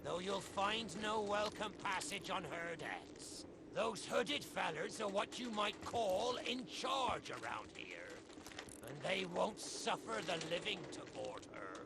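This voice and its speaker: A man playing a wry, crafty undead pirate captain in a video game, with a gravelly voice and a theatrical West Country pirate accent. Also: high-pitched, lively intonation, slow pace, noisy background, full character voice. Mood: ominous, conspiratorial. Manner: theatrical old seafaring dialect, wry. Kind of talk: video game character acting. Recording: music behind the voice. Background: loud game music.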